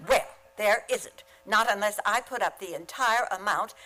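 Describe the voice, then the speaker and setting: An actress plays a witty woman schoolteacher from a radio comedy. Her voice sits at a middling pitch. She is dry and sardonic, a lovelorn type who turns every remark into a quip. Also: normal speed, bright, forceful tone, faint music behind, close to an everyday voice. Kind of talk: comedic radio play acting with narration.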